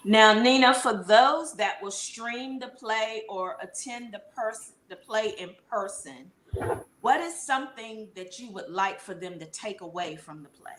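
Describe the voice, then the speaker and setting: A woman's voice: fairly high pitch, measured pace, fairly bright tone, reverberant, slight background noise. A woman hosting an interview, putting questions to her guest in a conversational way. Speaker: interview host.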